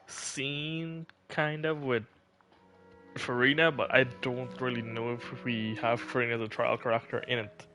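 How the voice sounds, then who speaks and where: Casual male game streamer, medium pitch, thinking aloud, faint music behind. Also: little pitch movement, a little slow, sharp tone. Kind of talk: casual live game commentary.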